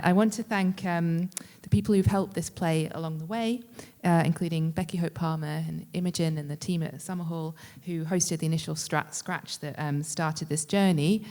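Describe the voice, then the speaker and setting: A woman's voice: low-pitched, dark tone. A woman giving an informal, hesitant acceptance speech as a writer and producer. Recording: reverberant hall.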